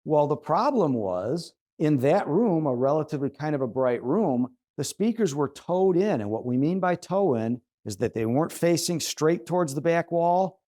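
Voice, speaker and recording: Man with a mellow voice, explaining. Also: on the high side, lively intonation, normal speed, few pauses. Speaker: host and audio reviewer. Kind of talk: conversational explanation of audio setup tips.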